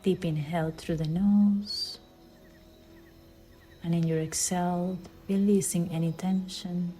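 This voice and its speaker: A woman leading a guided meditation in a soft, soothing way, with phrase endings that fall into breathy near-whispers. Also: on the low side, fairly even intonation, slow. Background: background music.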